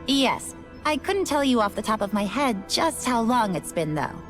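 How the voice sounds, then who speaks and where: An actress playing a resigned, matter-of-fact station worker in a video game, explaining things in a casual, unbothered way. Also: female voice, on the high side, very animated, moderate pace, music in the background. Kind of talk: video game cutscene voice acting.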